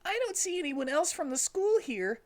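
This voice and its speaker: A man puts on a very high, squeaky young fox sidekick from a comic story reading. The little creature sounds earnest, anxious and kind, with a childlike delivery that swings widely in pitch. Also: sing-song, normal speed. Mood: nervous.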